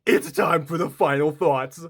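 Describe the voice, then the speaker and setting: A man giving an excited unboxing review, gushing and emphatic as he talks. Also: a little high, unhurried, breathy edge. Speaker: toy reviewer.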